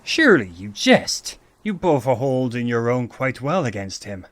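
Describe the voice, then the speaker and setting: A man's voice: mid-range voice, big pitch swings, measured pace. A man playing a grand, protective archangel in a fantasy audio drama, speaking in a formal, theatrical way. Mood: wry, concerned. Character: grand male archangel, commanding, protective elder brother.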